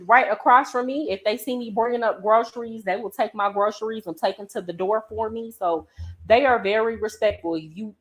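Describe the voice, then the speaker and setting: Chatty woman with a high-pitched voice. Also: brisk, rough recording. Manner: chatty, emphatic, conversational slang. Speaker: reaction vlogger and travel coach. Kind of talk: casual reaction commentary. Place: home in Colombia.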